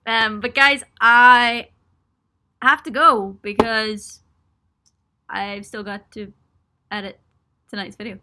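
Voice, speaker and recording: Young woman rambling on a webcam stream from her little home studio, bright voice, giggles a lot, lots of ums. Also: on the high side, fairly even intonation, slow, pauses often, a little hiss or hum. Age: young adult. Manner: chatty and giggly, self-mocking, frequent ums and laughs. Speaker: musician hosting a livestream for supporters. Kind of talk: casual live chat answering viewer questions. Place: small indoor home studio room.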